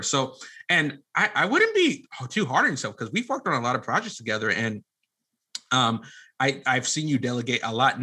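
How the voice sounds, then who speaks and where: A man's voice: mid-range voice, sharp tone. A man speaking as an interview guest in a casual, colloquial, earnest and self-deprecating way. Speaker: podcast guest, audio drama producer and director.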